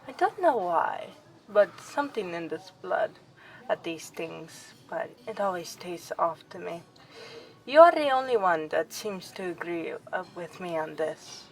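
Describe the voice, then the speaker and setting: A woman's voice: lowish voice, measured pace, soft background music, low-quality recording. A woman playing a young noble vampire princess in an ASMR roleplay, affectionate and formal in her wording. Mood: confiding. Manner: formal, expressive, aristocratic wording.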